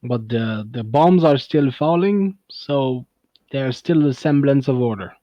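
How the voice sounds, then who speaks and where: A man's voice: medium pitch, lively intonation, unhurried, no room sound, highs cut off, lightly acted. A man voicing an earnest Russian soldier in a tabletop game, speaking clipped, broken English with a put-on Russian accent.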